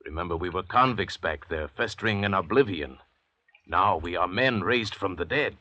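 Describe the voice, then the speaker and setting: An actor playing a worldly, educated convict doctor and murderer in an old radio drama, urbane and deliberate, with a condescending manner. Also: male voice, deep voice, expressive, bright, forceful tone, no echo, dull audio, exaggerated voice. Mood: calm, patronizing. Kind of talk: 1940s radio drama acting. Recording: vintage radio broadcast.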